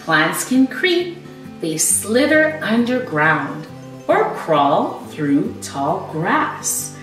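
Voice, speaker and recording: A woman in her thirties reading a storybook aloud to young children as a teacher, with expressive emphasis and explanations. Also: fairly low pitch, far from the mic. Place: small living room at home, indoors. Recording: roomy echo, away from the mic.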